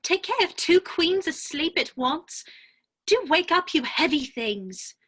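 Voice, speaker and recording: A curious, outspoken young girl, played by a woman, with a high, bright voice that swings up and down. She is emphatic and easily indignant, in the manner of a storybook heroine. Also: very animated, unhurried, exaggerated voice. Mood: exasperated. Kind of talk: dramatic reading of a classic children's story.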